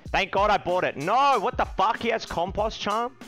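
Profane excitable streamer man. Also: high-pitched, normal speed, soft background music. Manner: profane, excitable, shouting when upset. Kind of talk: live game stream commentary. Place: small room indoors.

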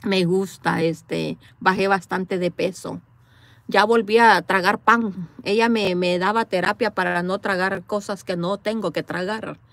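A woman's voice: mid-range voice, steady tone, a little slow, vocal fry, fairly bright tone, dry recording. A woman from El Salvador with a Salvadoran accent, chatting playfully and laughing with live viewers from inside a parked car, recorded on a phone. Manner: chatty, playful, laughs often, talks back to commenters. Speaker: livestream host reading viewer comments. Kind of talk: casual chat with live viewers.

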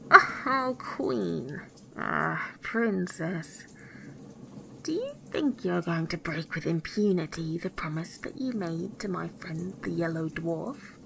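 A woman's voice: on the low side, expressive, slow pace, creaky, a little hiss or hum, heavily acted, poor audio. A woman voicing a tall, ugly, ancient fairy, threatening and imperious, in a storybook tale. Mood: threatening, indignant.

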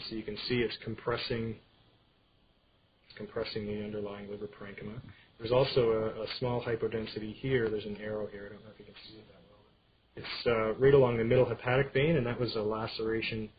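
A man giving an informal medical slide lecture, explaining things in a halting way. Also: fairly low pitch. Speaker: radiology lecturer. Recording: dull screen-capture audio with clear background noise.

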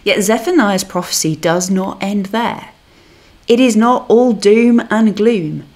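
A woman minister reading a scripted devotional reflection in a measured, solemn manner. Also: mid-range voice, darkish tone.

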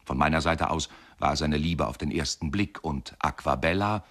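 An actor narrating a radio play as a wry, courteous older husband, measured and dryly ironic. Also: male voice, very deep voice, a bit bright. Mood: wry, reminiscing. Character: wry, courteous older husband reminiscing about his marriage. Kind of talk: radio play monologue, first-person narration.

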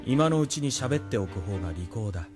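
A man's voice: lowish voice, expressive, brisk, loud music behind the voice, close to an everyday voice. A man playing a proud, vengeful young military officer in an audio drama, with a menacing, taunting manner. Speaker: voice actor. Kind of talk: anime-style audio drama acting.

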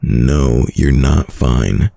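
A man playing a caring, worried boyfriend in an intimate ASMR roleplay, with a deep, gravelly, husky voice and a soft, soothing manner. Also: dry recording, heavily acted. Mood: firm, tender. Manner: soft, slow, soothing, hesitant. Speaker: audio roleplay voice actor.

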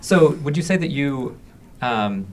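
A man interviewing guests conversationally, with hesitant, halting phrasing. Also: mid-range voice, expressive. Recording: faint background room noise.

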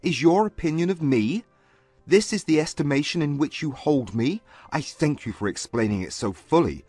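An actor playing a proud, wounded gentleman suitor in a dramatised audiobook, speaking with formal, emphatic diction. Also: a little high, animated, normal speed, slightly rough, no room sound, faint music behind. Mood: indignant.

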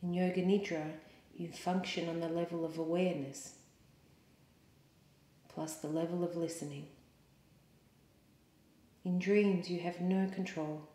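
A woman yoga teacher leading a guided meditation in a calm, soothing, measured way with pauses. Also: on the low side. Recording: roomy, echoing sound.

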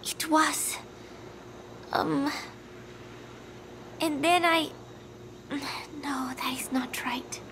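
A woman playing a gentle, confused young student in a video game, speaking softly and hesitantly and trailing off. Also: sits high, steady tone, very slow, long pauses, background noise, exaggerated voice. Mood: confused, weary. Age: young adult. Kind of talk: dramatic video game acting.

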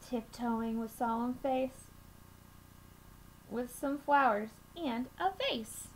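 A young woman reading a picture book aloud to children, with measured, deliberate phrasing and expressive emphasis, recorded on a webcam mic in a small room. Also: fairly high pitch. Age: young adult. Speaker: children's storytime reader at a school. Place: small room at home, indoors. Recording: noisy home webcam recording.

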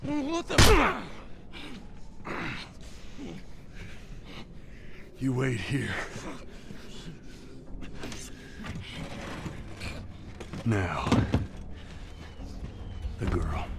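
An actor playing a grim, ruthless middle-aged survivor in a video game, terse and threatening. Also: male voice, lowish voice, huge pitch swings, extremely slow, noisy background, full character voice. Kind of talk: cinematic video game acting.

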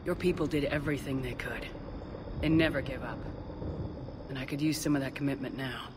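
An actress playing a steady female military commander who speaks in a firm, level, composed way in a video game cutscene. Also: a bass voice, fairly quick, in a large hall, noisy background.

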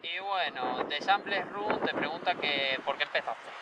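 A man's voice: on the high side, normal speed. A man casually putting questions to a friend as an informal, teasing interviewer outdoors. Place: outdoors by the sea, windy. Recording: wind-battered outdoor recording, distant mic.

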